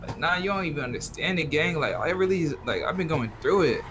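Young American male gamer, slightly high voice, slangy and sweary, grumbling casually over noisy voice chat. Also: expressive, brisk, highs cut off. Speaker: fellow player in online role-play game. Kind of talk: casual in-game banter. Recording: noisy online game voice chat.

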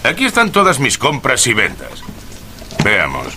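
An actor playing a surly, quick-tempered goose seller in a radio drama, with a brusque, blustering manner. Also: male voice, medium pitch, wildly swooping pitch, background noise. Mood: smug, challenging. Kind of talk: dubbed radio drama acting.

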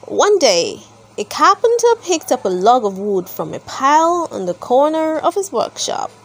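Very animated high-voiced woman reading a storybook. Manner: slow, deliberate, animated storytelling for children. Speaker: storybook reader. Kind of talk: expressive read-aloud of a children's story.

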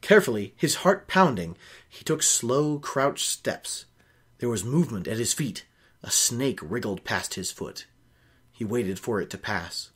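Young man with a lively, swooping delivery. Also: mid-range voice, unhurried, husky. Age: young adult. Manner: animated, opinionated, nitpicking commentary. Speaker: host of a book-reading show. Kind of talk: reading a novel aloud with ranting asides. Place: small home office, indoors.

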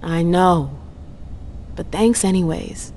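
A woman's voice: fairly low pitch, slow, darkish tone, slight background noise. An actress playing a determined, persuasive female vampire in a video game cutscene, assertive and blunt. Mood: earnest, reassuring.

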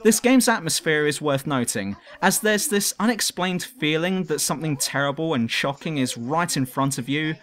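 A man's voice: on the high side, a bit bright. A man reading scripted review narration as a video reviewer.

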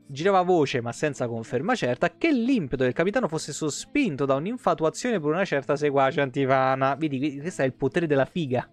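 A man, a game streamer reading lore aloud with casual, irreverent asides. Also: on the high side. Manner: irreverent, foul-mouthed asides. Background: background music.

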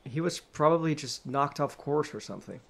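A man's voice: on the high side, lively intonation, moderate pace, vocal fry. A man playing an aloof, dismissive rebel in a sci-fi audio drama, blunt and nonchalant. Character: aloof, dismissive rebel comrade named Mark.

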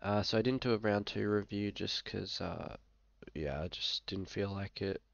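A man's voice: fairly low pitch, moderate pace, gravelly, dry recording, lo-fi sound. A young man with an Australian accent, a bit sick, talking casually and hesitantly through a screen-share review with many fillers. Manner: laid-back, frequent fillers, hesitant. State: sick, occasional coughing. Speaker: fantasy football vlogger. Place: small room indoors.

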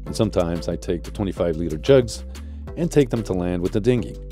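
A man narrating his sailing vlog in a calm, reflective voice-over. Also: low voice, a little fast, soft background music.

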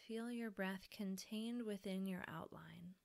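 Female meditation guide: hushed, soothing, creaky mid-range voice, leaving space between instructions. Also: medium pitch. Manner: soft, breathy, soothing instruction with pauses. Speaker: yoga nidra teacher.

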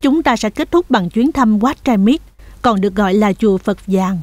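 Woman as a lively, motherly cartoon goat. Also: mid-range voice, normal speed, dry recording, natural voice. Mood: explaining. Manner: motherly, explanatory, gently teasing. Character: patient, cheerful mother goat guiding her kids on tours.

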